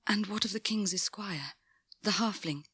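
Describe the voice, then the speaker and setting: A woman's voice: medium pitch, moderate pace, fairly bright tone, no room sound. An actress playing a frail, weary noblewoman speaking faintly in a 1980s radio drama. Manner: faint, breathy, wondering.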